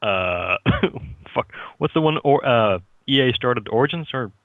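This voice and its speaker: A man's voice: medium pitch, lively intonation, unhurried, no echo, phone-quality sound. A man in casual, joking podcast banter, playful and inclined to swear. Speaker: podcast guest or co-host.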